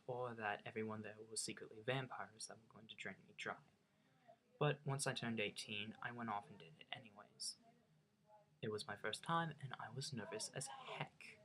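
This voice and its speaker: A man's voice: on the low side, fairly bright tone, a little hiss or hum, low-quality recording. A young man from the United States with a slight Norwegian accent, telling a funny personal story in a chatty, self-mocking way with hesitant restarts. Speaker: vlogger. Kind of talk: casual storytelling.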